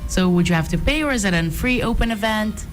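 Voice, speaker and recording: A woman's voice: medium pitch, lively intonation, fast, music in the background. A woman hosting a radio interview, asking curious, friendly questions. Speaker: radio host.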